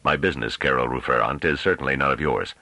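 A man's voice: very deep voice, fast talker, gravelly, sharp tone, dry recording, full character voice. A man voicing a small, careful scholar in an audiobook, speaking formally and firmly without emotion. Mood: calm, firm. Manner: controlled, emotionless, firm, formal. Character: small pale male scholar, unmoved and careful.

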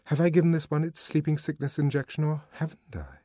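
A man's voice: on the high side, brisk, mellow, no room sound, pitched above the performer's own voice, lightly acted. A man reading a novel aloud, voicing a tired, unhappy young woman who is curt with others. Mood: murmuring. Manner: curt and strained, drifting into murmurs. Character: weary, sad young woman at work.

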